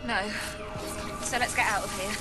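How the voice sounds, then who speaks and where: A woman's voice: medium pitch, steady tone, moderate pace, over loud music, close to an everyday voice. A woman playing a determined, caring survivor in a video game, speaking with emotive urgency. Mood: urgent.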